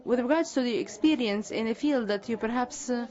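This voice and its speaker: A woman giving live interpretation at a conference panel, halting often with hesitations and correcting herself mid-phrase. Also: on the high side, dark tone, muffled recording.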